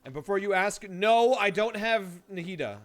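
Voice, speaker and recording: A man's voice: high voice, animated, normal speed. A man giving casual, off-the-cuff livestream commentary on a game in a joking manner. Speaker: game streamer.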